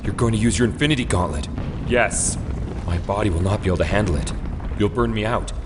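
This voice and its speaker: Man as a dramatic heroic leader, loud rumble. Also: fast talker, close to an everyday voice. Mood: grave, desperate. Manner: earnest, urgent, dramatic. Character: heroic, grave scientist leader in crisis. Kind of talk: comic dub voice acting. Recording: loud rumbling battle effects behind.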